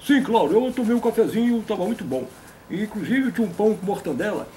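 A man's voice: sits high, lively intonation, fast talker, warm tone, a little hiss or hum, voice pushed up, rough recording. A man voicing a boastful, pompous old grandpa puppet in a comic interview. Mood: lecturing. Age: older. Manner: pompous, folksy, repeats a stock affirmation.

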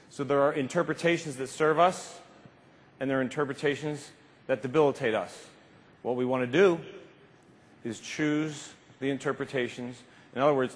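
A man in his fifties giving an emphatic, informal motivational keynote speech, repeating phrases for effect. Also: mid-range voice. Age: 50s. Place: large conference stage indoors. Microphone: lapel mic. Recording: reverberant large hall, slightly muffled speech.

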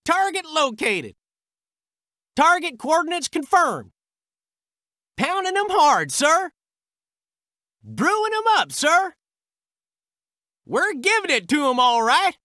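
US artillery soldier, war game: American, high-strung, clipped, forceful, dry close sound. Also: male voice, no room sound, exaggerated voice. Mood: brisk, ready. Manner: clipped military delivery. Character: high-strung male US Army artillery crewman. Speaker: video game unit voice. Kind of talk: military game unit barks.

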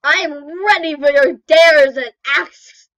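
A woman voicing an excitable, cheeky young female fox with dramatic, drawn-out exclamations in an amateur cartoon comic dub. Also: sits high, no room sound, poor audio. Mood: cheerful.

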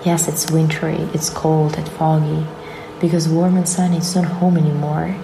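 A woman from Ukraine slowly and deliberately reading a prepared statement about her artwork. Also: low-pitched, little pitch movement, creaky, noisy background. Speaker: exhibiting artist. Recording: noticeable background ambience.

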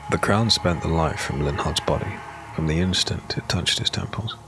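A man giving solemn, measured, dramatic narration of a dark fantasy audiobook. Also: deep voice. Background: music.

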